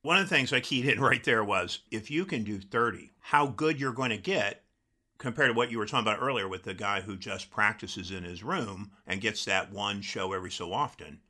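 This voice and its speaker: A man's voice: lowish voice, a little fast, pushed and bright. A man interviewing a guest, asking brief, direct questions.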